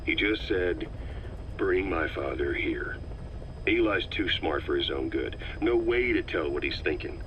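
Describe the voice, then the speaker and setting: A very low, hard-edged military officer in a video game drama. He is stern and suspicious, giving clipped, commanding words. The voice comes over a dull radio channel with a faint hiss behind it. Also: lively intonation, measured pace. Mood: grave, determined.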